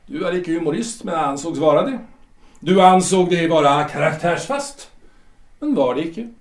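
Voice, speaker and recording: A man's voice: on the high side, expressive, natural voice. An actor playing a wry male guide in the afterlife who questions and judges a newly dead man, in a probing, teasing manner. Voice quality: probing, teasing, reads out verdicts with pauses. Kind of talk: amateur audio drama acting. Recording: echoey room.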